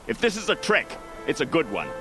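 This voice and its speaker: An actor playing a wary older soldier in a video game, bitter and defiant. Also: male voice, a little high, wildly swooping pitch, a little fast, music in the background.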